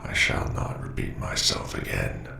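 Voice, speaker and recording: A man's voice: a little slow, lightly acted. A man voicing a cold, logical, menacing robot scientist in an audio drama, speaking formally and with condescension. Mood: cold, impatient. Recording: distant, echoey, noisy voice track.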